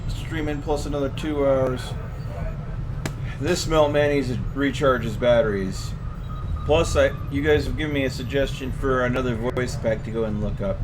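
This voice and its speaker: A man's voice: mid-range voice, noisy background. A man streaming a video game, giving casual live commentary and chatting to his viewers. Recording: game music clearly behind voice.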